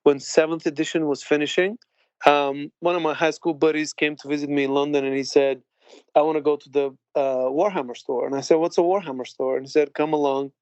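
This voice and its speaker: A man from Bulgaria in his late thirties, a podcast guest telling his story in a chatty, laughing way with halting restarts. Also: on the high side, fairly even intonation, a little mellow, clean tone, no echo, dull audio. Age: late 30s. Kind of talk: casual interview chat.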